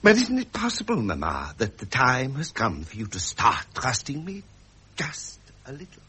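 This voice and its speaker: An actor playing a genial English royal heir in a radio drama, speaking with courteous, earnest eloquence. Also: male voice, medium pitch, wildly swooping pitch, normal speed, sharp tone, a little hiss or hum. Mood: earnest pleading.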